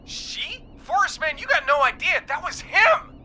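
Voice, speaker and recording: A man playing an excitable, grateful roller rink owner in a video game, talking in dramatic, slangy bursts over a noisy line. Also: very high voice, unhurried, full character voice. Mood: shaken, earnest.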